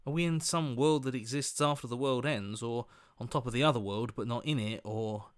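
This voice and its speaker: An actor playing an anxious, earnest heroic inner voice in a video game, questioning things in a hesitant, worried way. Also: male voice, medium pitch, a bit bright. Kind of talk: video game character acting.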